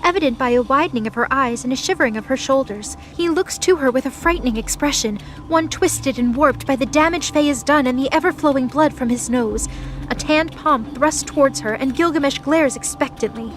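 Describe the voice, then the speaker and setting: Female narrator, high voice, dramatic scripted storytelling for an audio drama, music underneath. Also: a little fast, a bit bright, poor audio.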